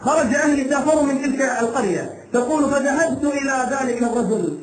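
High-pitched male preacher in echoing sermon. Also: quick pace. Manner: emphatic short phrases, repetition for dramatic effect. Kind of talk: impassioned sermon, telling a cautionary tale. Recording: echoey room sound with light background noise.

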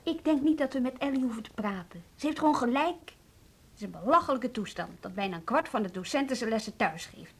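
A woman acting in a naturalistic radio drama as a composed, frank school colleague with a measured, slightly husky voice. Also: fairly high pitch, expressive. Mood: calm, frank.

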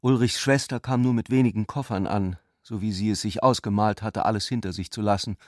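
An actor playing a serious, doubting narrator and lover in a literary radio drama, speaking in a measured, deliberate way. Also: male voice, fairly low pitch, steady tone, a little mellow, no room sound, studio quality, lightly acted. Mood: measured, wondering.

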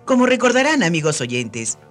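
A man's voice: fairly high pitch, big pitch swings, moderate pace, fairly bright tone, soft background music. A man co-hosting a radio program, presenting scripted remarks and addressing listeners emphatically.

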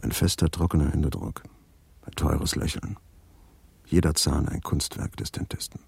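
A man's voice: a bass voice, normal speed, creaky, noisy background, exaggerated voice. An actor voicing a man's wry inner thoughts in terse, musing fragments for a radio drama. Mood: dryly sardonic. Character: wry male inner voice sizing people up. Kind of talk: radio drama interior monologue.

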